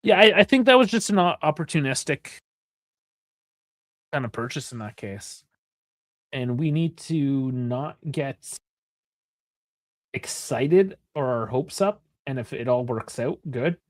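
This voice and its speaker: A man's voice: mid-range voice, lively intonation, a little slow, long pauses, dry recording. A Canadian man in his thirties, chatting loosely about soccer with frequent laughs and swearing. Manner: rambling, swears, laughs often. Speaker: co-host of a soccer watchalong. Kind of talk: casual chat.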